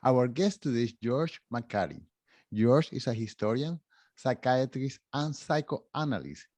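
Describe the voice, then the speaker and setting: A man hosts a podcast, introducing his guest and asking questions. His voice sits in a middle pitch with animated, rising emphasis, recorded dry and close. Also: a little slow, no echo. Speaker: podcast host. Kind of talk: podcast interview.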